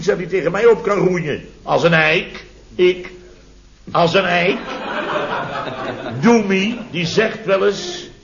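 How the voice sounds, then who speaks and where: A blustering contractor in his fifties, his voice on the high side. He is indignant and self-important, hammering his phrases again and again in old radio comedy style, on a dull vintage recording. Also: background noise. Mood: boastful, blustering. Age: 50s. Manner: repeats himself, exclaims, self-important. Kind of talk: 1970s radio comedy acting.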